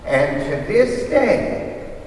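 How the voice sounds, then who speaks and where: A man telling a folksy story on stage with colloquial grammar, halting and animated. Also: high voice, exaggerated voice. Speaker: readers theater storyteller. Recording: distant echoey hall with faint noise.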